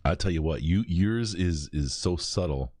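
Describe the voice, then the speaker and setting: Very deep-voiced rambling Michigan man. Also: expressive, moderate pace, darkish tone, dry recording, studio quality. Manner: rambling, restarts, swears casually. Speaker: podcast host. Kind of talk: casual charity stream chat.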